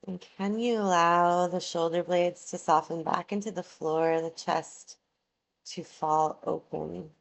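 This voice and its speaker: A woman guiding a yoga relaxation meditation, giving soothing, measured instructions with long pauses between phrases. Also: lowish voice, fairly even intonation, gravelly.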